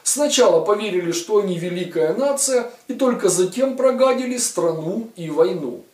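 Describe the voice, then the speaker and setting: A man's voice: high-pitched, expressive, normal speed, darkish tone, slight background noise. A man in his forties giving sarcastic political commentary to camera, in a mocking, ironic, emphatic way. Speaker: video blogger commentator. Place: studio with a virtual set. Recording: roomy, distant sound.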